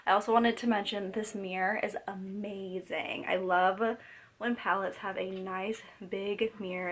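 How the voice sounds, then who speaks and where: A hoarse young woman getting over a cold, chatting casually through a makeup tutorial at home, rambling with lots of fillers and restarts. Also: medium pitch. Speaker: beauty vlogger.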